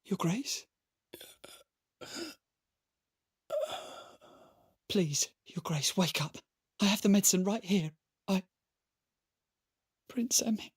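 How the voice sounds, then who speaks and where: Breathy, desperate, ailing young scholar. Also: male voice, high-pitched, measured pace, full character voice. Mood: anxious, pleading. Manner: earnest, formal, intense. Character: ailing, desperate, devoted young male scholar seeking a cure. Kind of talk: dramatic game voice acting.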